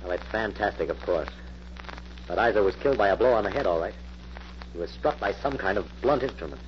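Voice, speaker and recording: A male actor plays an authoritative, skeptical investigator of vintage radio mystery. He talks fast and clipped in a fairly low voice. The old recording is heavy with noise. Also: animated, lightly acted. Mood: brisk, decisive. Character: brisk, skeptical, take-charge male investigator hero. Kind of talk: 1940s radio acting. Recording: muffled, very noisy old-time radio recording.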